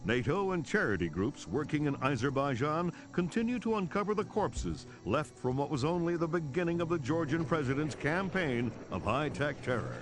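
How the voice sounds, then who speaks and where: A television news anchor in a game cutscene. His formal, urgent report moves with a lively intonation, mid-pitched, over clear background music. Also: normal speed, hardly any pauses. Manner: formal, grave.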